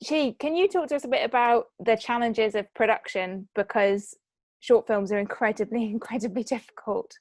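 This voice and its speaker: A woman's voice: on the high side, moderate pace, a little mellow, no room sound. A woman moderating a question-and-answer discussion as host and producer.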